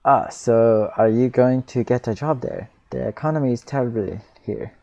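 A man's voice: mid-range voice, normal speed, gravelly, warm tone, highs cut off, natural voice. A man voicing a friendly, curious taxi driver while reading a visual novel aloud.

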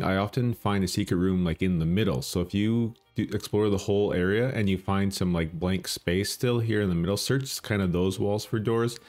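Deep-voiced man chatting over gameplay. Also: a little mellow. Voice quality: bassy morning voice. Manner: chatty, self-deprecating, thinking aloud. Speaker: gaming channel host. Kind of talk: casual gameplay commentary.

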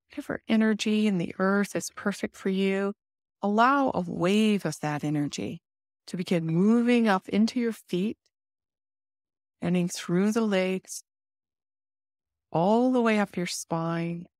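A woman leading a guided meditation in a soothing, instructive manner with deliberate pauses. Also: fairly low pitch, a little mellow, no room sound, clean studio sound.

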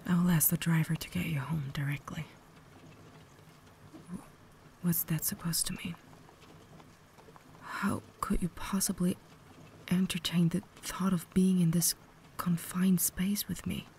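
A woman's voice: lowish voice, little pitch movement, darkish tone, slight background noise. Whispering, cultured vampire lady acted by a woman. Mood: anxious, incredulous. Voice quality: breathy whisper. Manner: formal, measured, earnest diction. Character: cultured, caring female vampire lady, restrained and tormented. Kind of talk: whispered ASMR roleplay acting.

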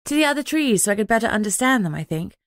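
A woman's voice: on the high side, big pitch swings, quick pace, dry recording, pitched above the performer's own voice. An earnest young female alpha werewolf speaks up decisively in an audiobook, played by a woman narrator. The sound is close and dry. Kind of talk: audiobook character dialogue.

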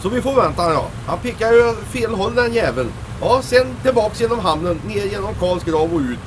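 An actor playing a flustered, slow-witted seaman husband in a comedic audio drama, excitable and stammering. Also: sits high, background noise. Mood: chatty.

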